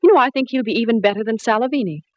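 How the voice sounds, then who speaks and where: A woman playing a gracious opera singer with a gushing, warm manner in a 1940s radio drama. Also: a little high, rapid-fire, no room sound, highs cut off, natural voice.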